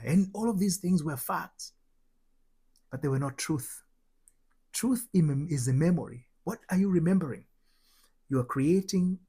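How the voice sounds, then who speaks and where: Husky Tanzanian man teaching with emphasis. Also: fairly high pitch, a little mellow. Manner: emphatic, rhetorical questions, repeats phrases. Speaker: host, spiritual transformation coach. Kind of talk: motivational spiritual teaching with personal anecdotes.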